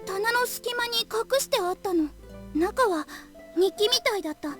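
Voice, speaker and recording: A woman's voice: very high-pitched, animated, quick pace, fairly bright tone, faint music behind. A Japanese actress playing a shy, earnest teenage girl in a visual novel. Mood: hesitant, earnest.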